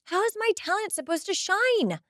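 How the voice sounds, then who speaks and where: A woman's voice: very high voice, sing-song, moderate pace, fairly bright tone, no echo, studio quality, voice pushed up, heavily acted. A woman playing a vain, snobby, scathing teenage mean girl, haughty and dramatic in a comic monologue. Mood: exasperated. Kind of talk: in-character comic recap monologue.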